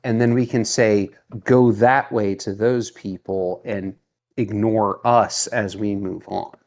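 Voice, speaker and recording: Male tabletop player, fairly low pitch, slow unhurried pace, casual table talk. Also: steady tone, darkish tone.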